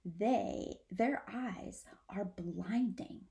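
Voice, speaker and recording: A woman's voice: medium pitch, extremely slow, vocal fry, dark tone. A woman voicing a mother possum in a children's storybook, warning and teaching her babies in an emphatic, instructive way. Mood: grave warning.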